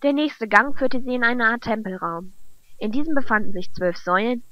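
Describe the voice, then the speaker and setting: A woman's voice: fairly high pitch, moderate pace, no room sound, dull audio. A woman reading scripted narration for a fantasy audio drama. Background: background music.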